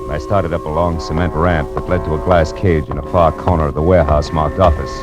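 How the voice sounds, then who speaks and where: An actor playing a laconic hard-boiled American detective in 1940s radio noir style. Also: male voice, extremely low pitch, steady tone, brisk. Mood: wry, hard-boiled. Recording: vintage radio recording with music.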